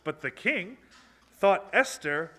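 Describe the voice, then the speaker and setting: A man narrates a children's puppet show in simple, theatrical phrases. His voice is a bit high and very expressive, with a bright edge and an unhurried pace. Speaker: storyteller, narrator. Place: social hall, live broadcast.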